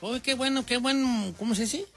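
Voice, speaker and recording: High-pitched Mexican man bantering as show host. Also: normal speed, fairly bright tone, faint music behind. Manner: teasing, slangy, mixes in English. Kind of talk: casual group banter, reading listener messages.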